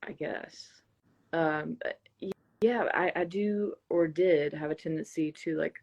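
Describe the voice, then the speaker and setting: A woman guest with plenty of vocal fry, speaking in a casual, reflective way. She is thoughtful and hesitant, often pausing to search for the right word. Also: sits low, darkish tone, lo-fi sound. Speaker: interview guest, singer-songwriter. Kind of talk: casual reflective interview talk.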